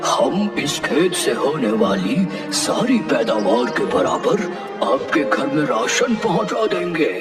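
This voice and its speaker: A man's voice: medium pitch, big pitch swings, takes it slowly, echoey room, recorded from a distance, over loud music. A man voicing a pleading, whiny cartoon ghost in a Hindi cartoon story. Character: gang of ghosts, whiny, boastful then pleading servants.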